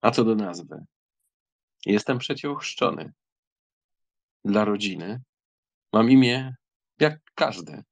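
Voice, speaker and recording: A man playing a proud, courteous young count with a measured, polite and ironic manner in an acted audiobook. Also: fairly low pitch, animated, full character voice. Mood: playfully protesting.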